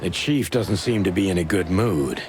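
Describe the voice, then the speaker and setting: A world-weary rooster detective, speaking in a low, dry, sardonic tone. Faint game music hums behind him. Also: male voice, animated, normal speed. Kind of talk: video game voice acting.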